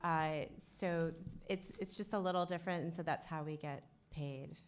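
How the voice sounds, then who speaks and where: A woman on a panel, explaining in a measured, careful way. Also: low-pitched, steady tone, slight background noise.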